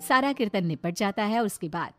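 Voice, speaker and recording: A woman narrating a moral story in an expressive, scripted storytelling style. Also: medium pitch, fairly quick, soft background music.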